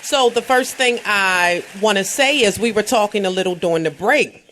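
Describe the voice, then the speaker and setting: An American woman hosts a radio talk show at an ordinary middle pitch. She talks emphatically and keeps calling out to her listeners, with plenty of "um" between her thoughts. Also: moderate pace. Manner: lively and emphatic, with frequent "um" and direct calls to listeners. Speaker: radio host. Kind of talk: radio interview and event promotion.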